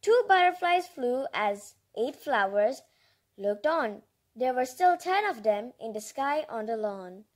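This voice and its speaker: A woman's voice: fairly high pitch, lively intonation, slow. A female reader reading a picture book aloud with chatty asides, in a childlike, enthusiastic, exclaiming manner. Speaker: storybook reader and host.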